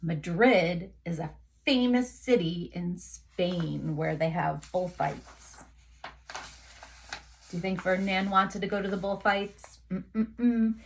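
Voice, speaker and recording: Slow-paced woman reading a children's storybook. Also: on the low side, gravelly, a little hiss or hum, low-quality recording. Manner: expressive storyteller with dramatic emphasis, pauses and asides to listeners. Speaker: teacher reading a picture book aloud to students. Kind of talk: storybook read-aloud for young children.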